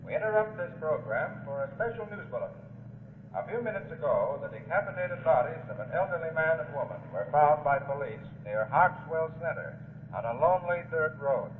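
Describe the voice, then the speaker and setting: An actor playing a grave 1940s news announcer reading a bulletin over a car radio. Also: male voice, a little high, expressive, a little fast. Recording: echoey, muffled vintage radio with noise.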